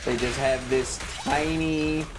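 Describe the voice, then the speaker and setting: A streamer with a deadpan, sarcastic manner, giving casual gaming commentary and swearing, close to the microphone. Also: mid-range voice, slow pace. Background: loud game music.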